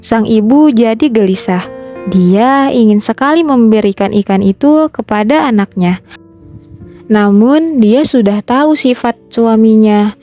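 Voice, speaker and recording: A woman's voice: a little high, measured pace, dark tone. A woman narrating a scripted children's folktale as a storyteller. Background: background music.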